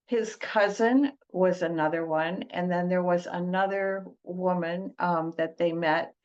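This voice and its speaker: Slow-spoken older woman on dull audio. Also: lowish voice, fairly even intonation. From Detroit, United States. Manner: many fillers and restarts, gentle and unhurried. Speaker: interview guest, healer. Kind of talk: slow, halting conversational interview.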